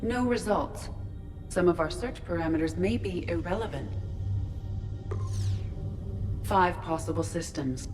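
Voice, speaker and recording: A woman playing a calm, precise artificial intelligence aboard a starship in a video game, speaking in a measured, formal, matter-of-fact way. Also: fairly low pitch, music in the background, close to an everyday voice.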